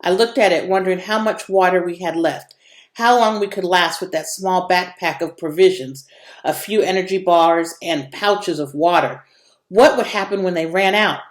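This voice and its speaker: A woman narrating a children's novel as an expressive read-aloud in an echoey room. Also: on the low side, normal speed.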